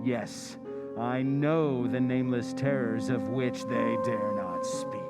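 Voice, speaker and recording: An actor playing a mysterious, ominous night-walking narrator in a radio drama, deliberate and measured. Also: male voice, medium pitch, fairly even intonation, loud music behind the voice.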